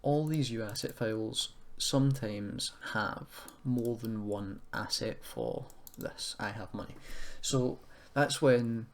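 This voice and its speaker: The low-voiced man on a homemade screen-capture tutorial, hesitantly working it out aloud over faint noise and typing, putting himself down as he goes. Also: slow, vocal fry, few pauses. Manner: hesitant, self-deprecating, thinking aloud. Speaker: tutorial presenter. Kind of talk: informal software tutorial, screen walkthrough. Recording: noisy room with keyboard clicking.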